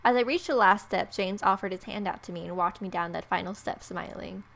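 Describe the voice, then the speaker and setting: A woman's voice: medium pitch, brisk, vocal fry, fairly bright tone. A woman reading a visual novel aloud as scripted narration, with casual asides. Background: faint music.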